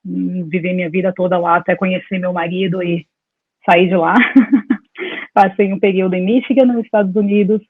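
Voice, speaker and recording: A woman's voice: lowish voice, fairly even intonation, normal speed, slightly rough, no room sound. A woman in her forties from Salvador, Brazil, a dentist talking as an interview guest over a video call, telling her story in a meandering, talkative way. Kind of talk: casual conversation over a call. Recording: dry, tinny phone-like call audio.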